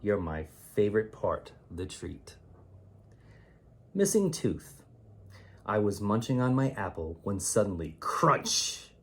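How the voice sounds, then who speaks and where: A man reading children's rhymes aloud as a teacher would, in an expressive, theatrical way with drawn-out, emphatic words. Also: lowish voice, slightly rough. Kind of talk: expressive children's read-aloud of rhyming poems.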